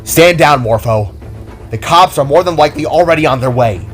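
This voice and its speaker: A man voicing an excitable, brash young armored hero in an audio drama, animated and exclamatory. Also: on the high side, brisk, voice pushed up, lightly acted. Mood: commanding. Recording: background music under the voice.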